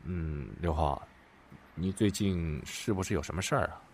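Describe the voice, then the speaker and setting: A man's voice: low-pitched, moderate pace, a little mellow, a little hiss or hum. A man playing a meek, flustered boyfriend in an audio drama, hesitant, stammering and apologetic. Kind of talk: Chinese audio drama acting.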